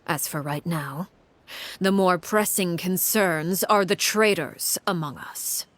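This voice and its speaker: A woman voicing a cold, commanding crime-family matriarch in a game, speaking in a measured, formal, stern way. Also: on the low side, fairly bright tone, no echo. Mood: quietly threatening. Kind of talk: dramatic video game voice acting.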